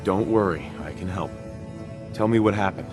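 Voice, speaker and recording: A man's voice: low-pitched, normal speed, close to an everyday voice. An actor playing a weary, earnest American father searching for his kidnapped son in a video game. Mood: earnest, reassuring. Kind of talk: video game dialogue acting. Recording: voice over game music.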